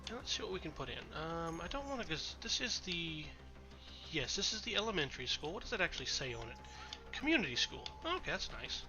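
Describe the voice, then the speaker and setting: A man with a congested, slightly nasal voice rambles through his game stream in a chatty, self-correcting way. His voice sits a little high for a man, and music plays clearly behind him. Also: sing-song, fairly quick, rough recording. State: congested from a cold. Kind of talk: casual gameplay commentary.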